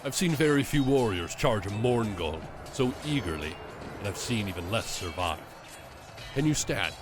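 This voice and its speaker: An actor playing a calm, experienced male witch hunter giving advice mid-battle in a fan audio drama. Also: lowish voice, normal speed, over music. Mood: steady.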